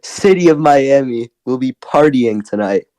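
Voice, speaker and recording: A hoarse young man doing excited post-game commentary, laughing often and breaking into shouts. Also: mid-range voice, unhurried, poor audio. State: hoarse, lost voice.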